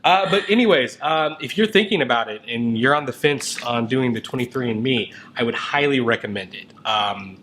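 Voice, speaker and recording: A man chats informally as a co-host, rambling a little as he goes. His voice sits at an ordinary middle pitch, with some noise behind it. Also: expressive, moderate pace, few pauses, fairly bright tone, rough recording. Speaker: show co-host. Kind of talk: casual chat. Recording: noticeable background noise.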